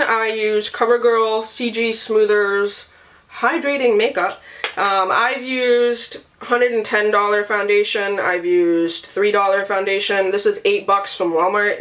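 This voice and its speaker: A woman's voice: medium pitch, fairly bright tone. A woman with a sick, nasal voice chatting casually and rambling as a beauty and book vlogger, swearing freely. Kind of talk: casual rambling vlog chat.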